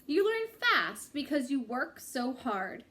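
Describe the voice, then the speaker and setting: A woman's voice: high voice, very animated, slow, pushed and bright, exaggerated voice. A woman voicing a friendly, encouraging Irish male aid worker in a storybook, with a kindly, folksy manner. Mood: warm, encouraging.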